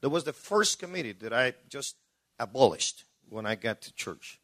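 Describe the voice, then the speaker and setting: A man's voice: medium pitch, lively intonation, normal speed, sharp tone, no room sound, clean studio sound. A man, a pastor answering on a panel in an emphatic, preacherly way, repeating phrases for weight.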